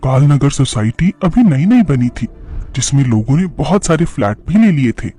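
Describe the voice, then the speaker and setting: A man's voice: mid-range voice, very animated, moderate pace, warm tone. A man reading scripted narration for a horror cartoon story. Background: faint music.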